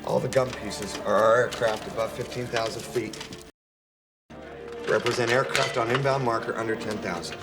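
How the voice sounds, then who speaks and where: An actor playing a plain-spoken veteran air traffic controller in a naturalistic film scene. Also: male voice, on the low side, steady tone, normal speed, loud music behind the voice, close to an everyday voice. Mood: matter-of-fact.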